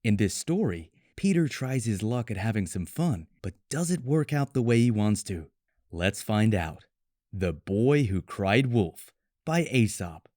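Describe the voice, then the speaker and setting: A man with an American accent reading a scripted storybook tale for English learners in clearly phrased, measured storytelling. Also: on the low side, very animated, mellow, no echo, studio quality. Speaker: story narrator.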